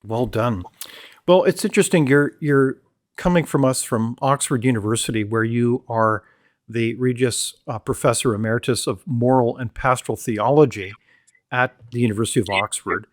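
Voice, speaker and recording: A man's voice: medium pitch, normal speed, dry recording. Formal male interview host, dry sound. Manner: formal, admiring questions with some stumbles. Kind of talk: interview hosting.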